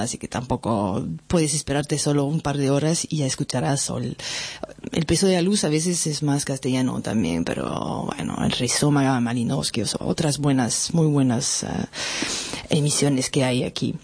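A woman's voice: low voice, darkish tone, breathy edge. A woman from France with a French accent, hosting a community radio show in a rambling, playful, chatty way, full of fillers. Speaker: radio host. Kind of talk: casual radio chat.

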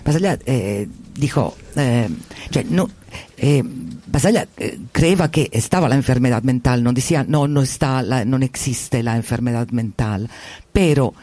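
A man with an Italian accent whose first language is Italian, talking in a casual discussion and often pausing to search for words. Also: mid-range voice, mellow. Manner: halting, searches for words, many fillers.

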